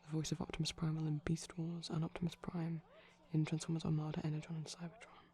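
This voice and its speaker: A man speaking softly in a chatty, rambling ASMR style, often dropping to a whisper, digressive and tentative. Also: a little high, monotone, fast. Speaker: ASMR performer. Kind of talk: soft-spoken rambling ASMR commentary on a film. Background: TV film audio playing in background.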